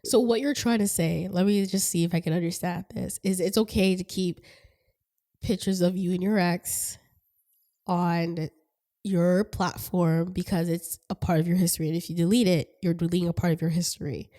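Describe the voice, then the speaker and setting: A middle-aged woman hosting a podcast in casual chat, her voice raspy and hoarse from a cold, talking in a rambling, chatty way and laughing often. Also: on the low side, steady tone, moderate pace, warm tone. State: hoarse from a cold, stuffy nose. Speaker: podcast host.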